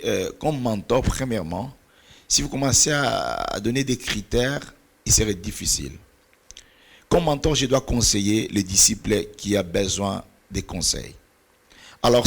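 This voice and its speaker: A middle-aged man whose first language is Portuguese talks about mentoring in church with a preacher's earnest cadence. His voice sits low and gravelly with a husky edge, and he sometimes pauses to hunt for the right word. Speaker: pastor and mentor.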